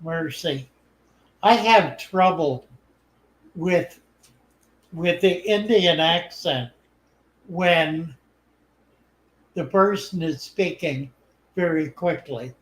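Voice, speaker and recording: An older man hosting a live stream, chatting in a slow, unhurried drawl. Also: on the high side, a bit bright. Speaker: host. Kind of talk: casual live stream chat. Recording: echoey room.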